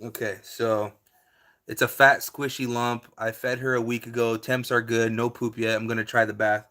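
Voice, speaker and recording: A man's voice: medium pitch, level tone, moderate pace, bright, forceful tone. A man, hosting a casual live stream and answering his viewers' chat in a laid-back way, with lots of fillers.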